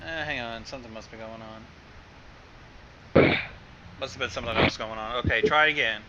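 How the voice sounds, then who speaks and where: A man's voice: mid-range voice, moderate pace, dull audio. A man in a casual chat as co-host of a recording session. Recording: noticeable background noise.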